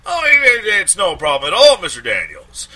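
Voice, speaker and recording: A man doing a greedy lawyer in a raised, eager voice, tripping over his words to please a paying client. The voice sits well above the narrator's own and comes out loud and bright. It is a put-on audiobook character. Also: high voice, wildly swooping pitch, moderate pace, voice pushed up, exaggerated voice, poor audio. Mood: fawning. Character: fawning middle-aged male lawyer, greedy.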